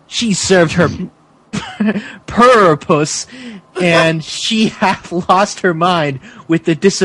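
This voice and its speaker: A man's voice: sits high, extremely slow, background noise. A man doing a mock-dramatic reading of fan fiction, often stumbling over the text. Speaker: podcast co-host reading a villain's part.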